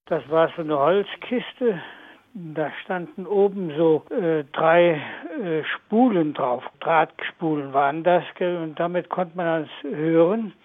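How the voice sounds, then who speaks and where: A man's voice: fairly high pitch, slow, dry recording, phone-quality sound. An older man recalling his childhood hesitantly, with many fillers, in an interview. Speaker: eyewitness interviewee.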